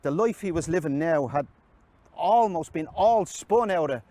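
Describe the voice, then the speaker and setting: A man gives an expressive, measured reading of literary prose in short, dramatic phrases. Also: on the high side. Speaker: reader. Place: outdoors on a city quay. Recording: outdoor city noise, traffic. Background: urban ambience and passing vehicles.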